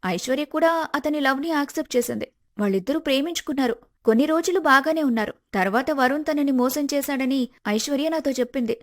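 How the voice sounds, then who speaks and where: A woman voicing a polite, grateful young woman in an animated moral story, respectful and earnest. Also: high-pitched, fast talker. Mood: earnest, helpful.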